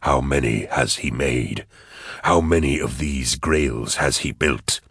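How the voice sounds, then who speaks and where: A man's voice: rumbling low, slow pace, a bit bright, no room sound, clean studio sound, pitched below the performer's own voice, heavily acted. A man voicing a grim, commanding interrogator in an audiobook, terse, grunting and laboured, as if straining to concentrate. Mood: urgent, demanding. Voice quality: husky, grunting. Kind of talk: dramatised audiobook dialogue.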